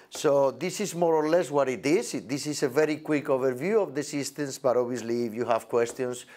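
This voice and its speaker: A man in his forties with halting, non-native English gives an unscripted product demonstration as a presenter, recorded indoors on a lapel mic. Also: medium pitch, background noise. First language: not English. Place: indoor demo set with backdrop.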